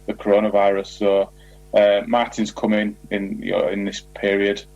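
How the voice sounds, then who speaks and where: A man with a very low voice answers interview questions in a measured, explanatory way. His intonation stays flat and even, and the audio is dull and lo-fi, like a radio call. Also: gravelly, no echo. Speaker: football manager.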